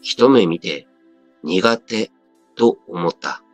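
A man's voice: deep voice, lively intonation, drawn-out words, dry recording. A man reading a scripted first-person story as a dramatic narrator. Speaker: story reader. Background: background music.

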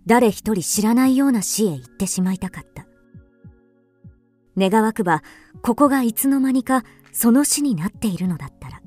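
An actress playing a wistful, introspective first-person storyteller in a literary radio drama. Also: female voice, a little high, a little mellow. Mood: wistful, dreamy. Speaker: actor narrating.

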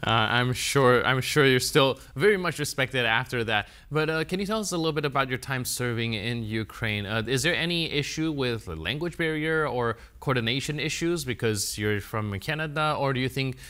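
A man hosting a TV news interview, asking polite, measured questions from a studio. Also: medium pitch, expressive, pushed and bright, clean tone. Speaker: news show host.

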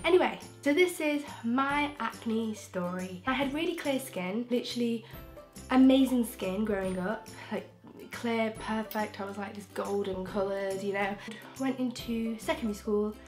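A young woman from England, croaky from a throat infection, chatting frankly and rambling with restarts as a beauty vlogger in her bedroom. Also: fairly high pitch. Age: young adult. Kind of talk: casual chatty vlog. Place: bedroom, indoors. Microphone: camera in front, close framing.

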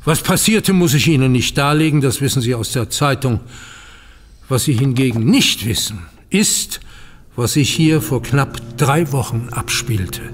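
An actor playing a dutiful, aggrieved banker, formal and earnest, in a German audio drama. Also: male voice, mid-range voice, animated, measured pace, long reverb tail, soft background music, close to an everyday voice. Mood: regretful.

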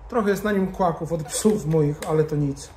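A man's voice: a little high, normal speed, a little mellow. A man, talking casually and informally through a hands-on tutorial, often muttering to himself as he works. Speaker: motorcycle vlogger. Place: indoor garage-bar lounge room. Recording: roomy echo, background noise.

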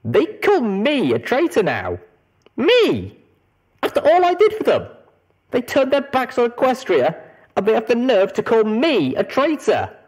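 Someone doing a tired cocky pegasus girl, husky, pitch all over the place, audiobook. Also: wildly swooping pitch, a little slow, darkish tone, full character voice. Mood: bitter, defiant. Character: weary, defiant, cocky female pegasus hero.

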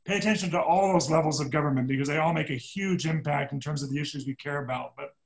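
A man moderating an alumni panel, earnest and measured, often restarting his sentences. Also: a little high. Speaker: panel facilitator.

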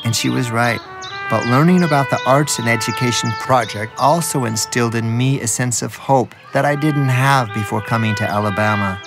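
A man hosting a documentary with scripted narration. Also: mid-range voice. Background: music.

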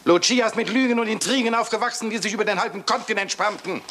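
An actor playing a vindictive, accusing man in a German radio crime drama, with a sharp manner. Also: high voice, fast talker, a little hiss or hum.

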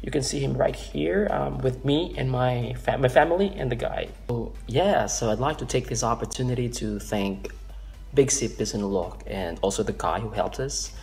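A man's voice: medium pitch, moderate pace, creaky, a little mellow. A man in his thirties from the Philippines earnestly telling a personal story to the camera from an office, rambling with restarts and fillers. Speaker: vlogger. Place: office room indoors.

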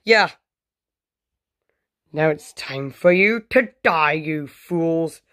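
Someone voicing a gloating, slow-talking crocodile villain. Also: medium pitch, animated, fairly bright tone. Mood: gleeful menace. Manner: menacing, gloating, taunting. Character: murderous gloating villain crocodile monster, prehistoric predator. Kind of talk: homemade dinosaur story play-acting.

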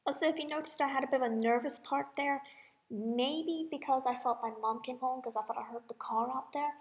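A woman's voice: high-pitched, a little fast. A young woman chatting casually in a rambling, self-deprecating way, as if to viewers of her own channel. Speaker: amateur cover singer and channel host.

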